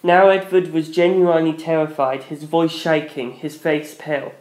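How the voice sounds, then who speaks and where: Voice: medium pitch, little pitch movement, moderate pace, a little mellow. Echoey first-person story narrator. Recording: echoey room.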